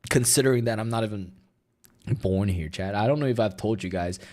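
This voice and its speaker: A man's voice: fairly low pitch, brisk, a little mellow. Young guy streaming, mandarin is his first language, rambly, swears a lot, filler words everywhere. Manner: rambling, profane, filler-heavy. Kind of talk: casual reaction stream chat.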